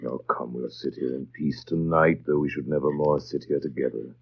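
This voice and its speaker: A lo-fi, muffled 1930s radio drama recording of a brooding master of a manor. His voice is very low and commanding. He muses theatrically in clipped asides, teasing yet tender. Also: steady tone, moderate pace, creaky, exaggerated voice.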